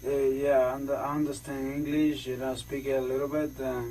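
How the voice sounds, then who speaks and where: A man in his twenties with a Cuban accent, a native Spanish speaker, giving halting, informal short answers in a police interview. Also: medium pitch, level tone, crowd noise. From Cuba. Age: 20s. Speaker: suspect giving a statement. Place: indoor police interview room. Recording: noisy distant interrogation room recording.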